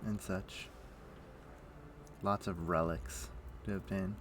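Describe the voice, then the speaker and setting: A man's voice: deep voice, steady tone, takes it slowly, slight background noise. A man chatting casually as he streams a game, rambling and thinking aloud. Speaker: livestream host. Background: music.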